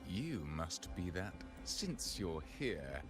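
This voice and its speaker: Very deep, sinister male villain. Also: big pitch swings, takes it slowly, heavily acted. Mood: menacing, taunting. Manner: menacing, taunting. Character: sinister, contemptuous villain in a fantasy game. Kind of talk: game voice acting. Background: game music.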